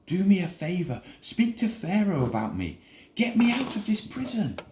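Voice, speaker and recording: Man playing young male prisoner, earnest, pleading storybook delivery, very animated, roomy sound. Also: sits high, normal speed, background noise, voice pushed up.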